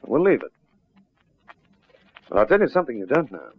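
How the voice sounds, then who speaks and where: An actor playing a confident police detective sergeant in an old radio drama, measured, dry and pressing. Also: male voice, medium pitch, very animated, slightly rough, dry recording, lo-fi sound. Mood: dry, firm.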